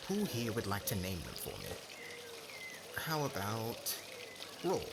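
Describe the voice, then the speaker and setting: A kindly, formal professor of magic played by a man addresses a class in a fantasy audio roleplay. The voice sits fairly low and moves in lively swings, with instructive phrasing. Loud ambient noise runs behind it. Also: takes it slowly, full character voice. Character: kindly male academy professor teaching young magic students. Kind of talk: ASMR roleplay acting.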